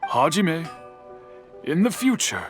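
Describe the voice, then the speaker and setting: A man voicing an older master craftsman in a video game, formal and deliberate, stern yet kindly. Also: on the high side, wildly swooping pitch, soft background music, exaggerated voice. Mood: calm, formal. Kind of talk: anime-style video game voice acting.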